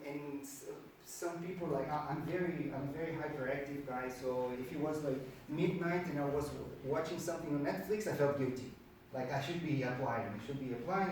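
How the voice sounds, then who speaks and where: Brazilian guy, portuguese native, doing a casual presentation, slightly high voice, repeats himself for emphasis, faint noise in the room. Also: a little mellow. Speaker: speaker at a career networking meetup. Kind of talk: informal presentation to a live group. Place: indoor meetup room with an audience. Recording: very echoey room, distant mic, faint noise.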